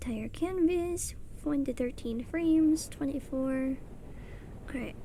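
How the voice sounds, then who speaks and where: A young woman with a soft voice chatting casually and rambling while she draws on a livestream. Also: high-pitched, slow, a little hiss or hum. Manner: chatty, rambling, self-deprecating, mutters settings under her breath. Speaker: streamer. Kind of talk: casual chat while drawing on stream. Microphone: streaming mic.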